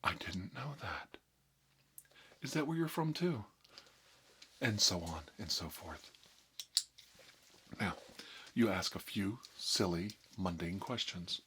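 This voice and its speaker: Male ASMR host, deep voice, deliberate deadpan mock-doctor instruction, audible background hiss. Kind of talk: mock-tutorial ASMR roleplay.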